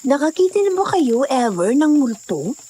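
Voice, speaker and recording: A gossipy, superstitious young Filipino woman hiker , played by an actress chats in an audio drama. Her voice is high. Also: sing-song, normal speed, warm tone, a little hiss or hum. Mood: chatty. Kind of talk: conversational audio drama acting.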